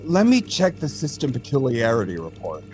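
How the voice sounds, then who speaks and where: A man's voice: mid-range voice, huge pitch swings, normal speed. Patient alien technician, a man playing him over music. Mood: matter-of-fact, explaining. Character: experienced alien data center technician, male, patient, thoughtful. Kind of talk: audio drama dialogue.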